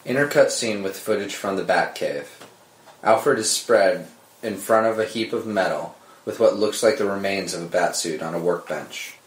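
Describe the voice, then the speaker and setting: A man's voice: low-pitched, moderate pace. A man narrating scripted screenplay directions at a table read. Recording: roomy echo under dramatic score music.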